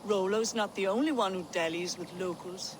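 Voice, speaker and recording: A woman's voice: mid-range voice, normal speed, slight background noise, close to an everyday voice. A woman playing a proud, spiteful Viking shield-maiden in a video game, with scornful, formal speech. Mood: defiant, scornful. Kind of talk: video game cutscene acting.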